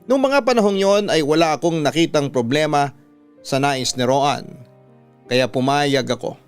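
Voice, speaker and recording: A man with a Filipino accent narrating a sentimental first-person love story for radio in a measured, heartfelt way. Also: a little high, clean tone, faint music behind. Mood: reflective, tender. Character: reflective narrator reading a letter writer's love story.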